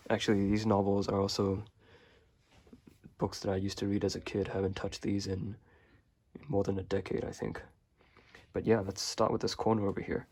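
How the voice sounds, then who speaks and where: Young male vlogger from Hong Kong, native Chinese speaker; deep, monotone, slightly husky; relaxed and hesitant. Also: normal speed. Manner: relaxed and rambling, many fillers and hesitations. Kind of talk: casual bookshelf tour.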